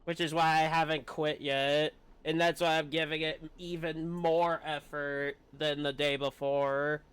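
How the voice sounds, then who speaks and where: A man's voice: fairly high pitch, level tone, measured pace, a bit bright, clean tone. A man streaming games, talking casually and candidly in a hesitant, self-deprecating, confiding way. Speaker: game streamer. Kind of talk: casual candid livestream chat.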